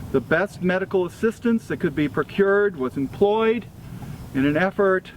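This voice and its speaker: American male, somewhat higher-pitched, formal memorial reader, measured and solemn, recorded outdoors with clear background noise. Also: rough recording. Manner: deliberate, solemn, phrase-by-phrase delivery. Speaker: freemason reader at a memorial event. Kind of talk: formal read-aloud obituary tribute. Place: outdoors at a cemetery event.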